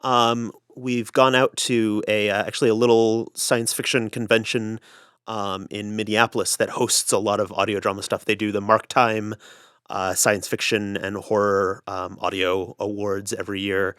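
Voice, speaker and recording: A man's voice: lowish voice, level tone, a bit bright, clean tone, no room sound, clean studio sound. A man answering interview questions in a casual, thoughtful way, feeling for his words as he goes. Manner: halting, thoughtful, feels for words. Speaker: audio drama creator being interviewed.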